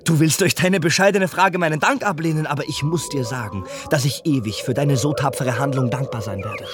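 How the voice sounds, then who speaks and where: Guy playing young prince, polite n earnest, highish voice, radio drama with music under it. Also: fairly quick, lightly acted. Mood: impressed. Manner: formal, polite, questioning. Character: earnest, curious, courteous young foreign prince. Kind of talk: theatrical radio play acting. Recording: music behind the voice.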